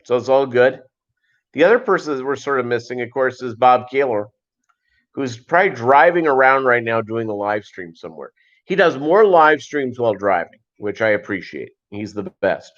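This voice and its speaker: A man hosting a casual livestream, chatting and answering viewers in a rambling, halting, conversational way. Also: mid-range voice, lively intonation, fairly bright tone. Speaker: livestream host. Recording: dull, lo-fi livestream audio.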